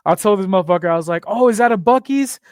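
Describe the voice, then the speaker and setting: A man's voice: sits high, lively intonation, fast talker, clear, resonant tone, dry recording, clean studio sound. A young man from near the Texas border chatting casually on a podcast, joking, swearing and rambling through stories. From Texas, near the Mexican border. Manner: profane, joking, rambling storyteller.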